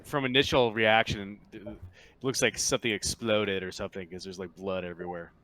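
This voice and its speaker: A man with a lowish voice acts as game master for a tabletop game. He explains scenes in a halting, conversational manner, casual and unscripted. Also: steady tone, bright, forceful tone, a little hiss or hum, low-quality recording.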